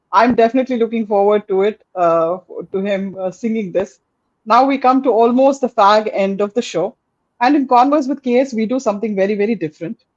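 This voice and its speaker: A woman's voice: on the high side, dry recording. Woman host, dull lo-fi line. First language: Tamil. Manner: warm, rambling, with many restarts. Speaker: podcast host. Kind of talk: casual interview chat.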